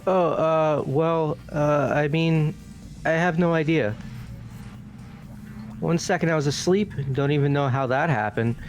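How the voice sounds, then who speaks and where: A man's voice: a little high, unhurried, long pauses. A man voicing a goofy, clueless young guy who protests in a whiny, slangy way, in a fan-dubbed visual novel. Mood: bewildered. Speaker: voice actor in fan game playthrough. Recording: music under the voice.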